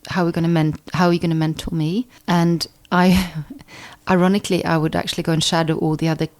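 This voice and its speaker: A woman in her fifties, raised in Sweden, speaking low with a gravelly edge. She talks things through as a podcast guest, circling back and restarting phrases as she thinks. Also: little pitch movement, fast, a little mellow. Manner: thoughtful, with frequent restarts and repeated words. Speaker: guest chiropractor. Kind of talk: conversational interview.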